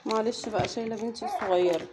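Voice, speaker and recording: Fast-talking Egyptian mom vlogger. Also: female voice, on the high side. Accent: Egyptian Arabic. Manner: chatty, addressing viewers directly. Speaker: mother vlogger. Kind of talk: casual running commentary while unwrapping candy. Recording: steady noise with wrapper crinkling.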